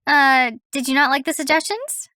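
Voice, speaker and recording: A woman's voice: sits high, expressive, normal speed, bright, forceful tone. A woman voicing a perky thirteen-year-old cartoon babysitter with a teasing, matter-of-fact manner.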